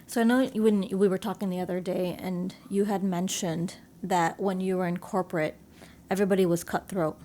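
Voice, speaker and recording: A woman's voice: lowish voice, steady tone, moderate pace, warm tone, a little hiss or hum. A woman hosting an interview, asking questions attentively and affirming briefly. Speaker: podcast host.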